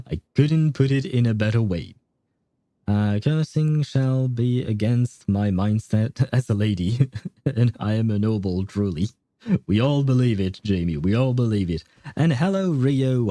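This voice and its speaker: A man's voice: fairly low pitch, measured pace, mellow, no echo. A man hosting a livestream, chatting casually in a rambling way and often losing his train of thought. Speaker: livestream host. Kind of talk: casual game stream chat.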